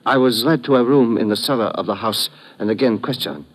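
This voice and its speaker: An actor playing a dignified, wary doctor, speaking formally and precisely in old radio drama style. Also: male voice, mid-range voice, a little fast, close to an everyday voice, rough recording. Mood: guarded, formal. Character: dignified, wary male doctor, kidnap victim. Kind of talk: 1950s radio drama acting.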